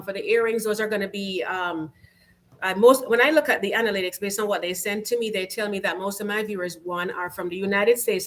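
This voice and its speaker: A woman from Jamaica with a Jamaican accent, hosting a casual home livestream, reading viewer comments aloud in an upbeat, chatty way full of fillers. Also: medium pitch. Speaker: YouTube channel host.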